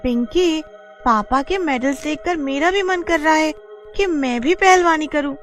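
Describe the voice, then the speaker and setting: A woman's voice: sits high, measured pace, soft background music, voice pushed up. A woman voicing a curious, eager young village girl with a wide-eyed, girlish manner in a storybook tale. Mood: excited. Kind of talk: storybook character voice.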